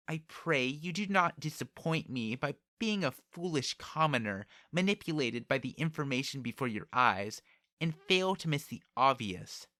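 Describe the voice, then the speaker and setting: A man's voice: medium pitch, pushed and bright, no echo, clean studio sound, voice pushed up. A man voicing a proud, cold young noblewoman who speaks formally and with condescension in an audiobook. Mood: threatening, haughty.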